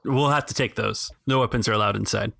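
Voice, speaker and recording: A man's voice: lowish voice, fast, creaky, a bit bright, slightly rough, no echo, studio quality, heavily acted. A man voicing a flustered, officious but easily swayed security guard in a tabletop game. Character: flustered, smitten male security guard in a helmet. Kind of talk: tabletop roleplay acting.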